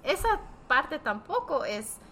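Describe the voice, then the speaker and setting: Bright-voiced woman, slightly halting non-native Spanish. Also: high-pitched, very animated, a little hiss or hum. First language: not fully fluent in Spanish, more at home in another language. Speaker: podcast host and therapist. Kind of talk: casual conversational discussion.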